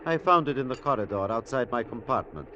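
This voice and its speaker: An actor playing a reserved, courteous doctor traveling in secret, formal in a 1940s radio manner. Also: male voice, mid-range voice, animated, fairly quick, noisy background, natural voice. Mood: reserved courtesy. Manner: formal, polite. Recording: muffled vintage radio.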